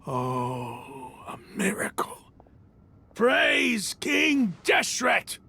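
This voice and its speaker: A haughty desert gang leader from a video game. His voice is high for a man and swings dramatically, with a slow, sneering, theatrical delivery over faint background noise. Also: huge pitch swings, gravelly, heavily acted. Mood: awed, fervent.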